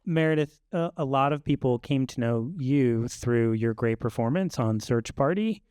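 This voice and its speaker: A man moderating a live Q&A panel, asking friendly, measured questions. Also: mid-range voice, a little mellow, clean tone, no room sound, clean studio sound. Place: live event stage.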